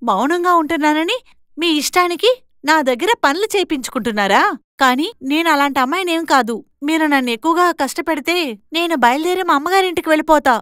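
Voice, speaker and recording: A woman plays a bold young sister in a cartoon moral tale. Her voice is high and dry, with no room around it. She talks back firmly and scolds like someone who will not be pushed around. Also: lively intonation, fast talker, a bit bright, no room sound. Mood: defiant, indignant. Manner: assertive, scolding. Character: bold, defiant young twin sister standing up to her in-laws. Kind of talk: moral story cartoon dialogue.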